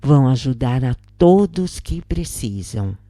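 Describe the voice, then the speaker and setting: A calm female spiritist counselor played by a woman narrator gives solemn guidance in a Brazilian accent. Her storybook lines come slow and low, with a mellow, reassuring tone. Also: no room sound, clean studio sound. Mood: reassuring, instructive.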